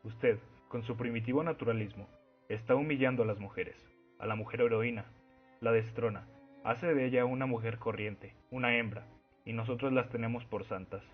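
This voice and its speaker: A man's voice: mid-range voice, steady tone, normal speed, lightly acted. A man playing a stern Soviet censor in a radio play, scolding and pompous. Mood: scolding disapproval. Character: stern, indignant Soviet censor, self-righteous official. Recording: muffled lo-fi recording with music.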